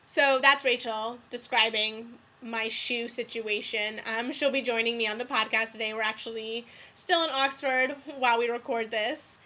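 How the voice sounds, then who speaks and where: A woman hosting a podcast, congested from a cold, chatting and explaining in a clear, casual way. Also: on the high side, steady tone, moderate pace, hardly any pauses, pushed and bright. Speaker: podcast host. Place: indoors.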